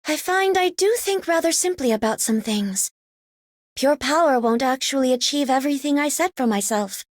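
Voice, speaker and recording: An earnest young game girl, super high voice, determined, no room sound. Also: expressive, moderate pace, no echo. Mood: earnest resolve. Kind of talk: scripted game character voice lines.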